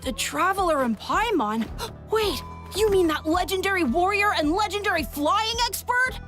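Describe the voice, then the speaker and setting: A woman voicing an excitable, admiring young child in a video game, with a childlike voice. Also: very high voice, moderate pace. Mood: excited. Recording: music behind the voice.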